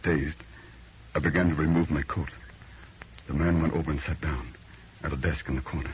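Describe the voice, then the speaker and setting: An actor playing a dazed, uneasy city man telling an eerie tale in old radio drama style, earnest and haunted. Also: extremely low pitch. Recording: muffled old radio recording, faint noise.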